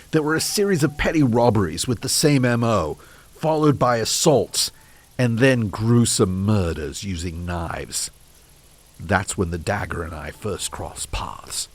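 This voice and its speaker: A man's voice: sits low, husky, heavily acted. A man playing an earnest, haunted ex-detective in an audio drama, telling his story in a courteous, old-fashioned way. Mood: grave, regretful. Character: earnest, haunted male British ex-detective, grieving and obsessive.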